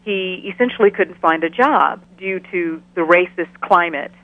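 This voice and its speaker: A middle-aged woman who grew up in Ghana, answering interview questions over the telephone in a measured, thoughtful way, often restarting her sentences. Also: fairly low pitch, no echo. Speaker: interview guest, biochemistry professor. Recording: tinny phone line, dry.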